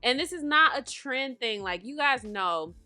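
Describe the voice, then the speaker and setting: A woman's voice: sits high, lively intonation, measured pace, pushed and bright, no room sound, soft background music. A woman in her thirties hosting a podcast from home, talking casually and calling listeners honey and baby, a little nasal and congested today. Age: 30s. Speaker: podcast host.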